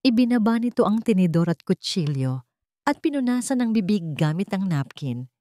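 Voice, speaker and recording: A woman's voice: mid-range voice, big pitch swings, normal speed, mellow, no room sound. A woman reading a dramatic scripted audiobook story as its narrator.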